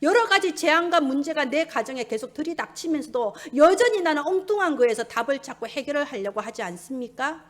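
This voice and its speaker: A woman preaches in a high-pitched voice. Her delivery is emphatic and urgent, as she exhorts listeners with Bible stories and presses them with rhetorical questions. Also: expressive, fairly quick, few pauses.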